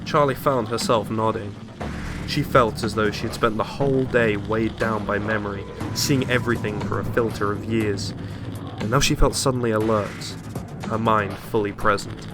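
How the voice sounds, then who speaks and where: Low-pitched man narrating horror over music. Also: steady tone, moderate pace. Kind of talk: dramatic scripted horror audiobook narration. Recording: music under the voice.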